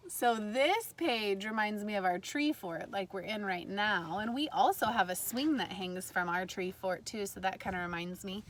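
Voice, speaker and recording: A woman teacher reading a storybook aloud to kindergartners in an animated way, pausing to put questions to her listeners. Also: mid-range voice. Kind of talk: read-aloud lesson for young children. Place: outdoors in a backyard tree fort among trees. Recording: faint outdoor ambient noise.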